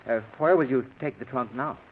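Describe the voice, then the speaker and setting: An actor playing a nervous, evasive husband in an old radio suspense play, hesitant and over-polite. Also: male voice, medium pitch, fairly quick, natural voice. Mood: flustered, evasive. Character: nervous, evasive husband hiding a guilty secret. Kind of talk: 1940s radio suspense acting. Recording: tinny, hissy old radio broadcast.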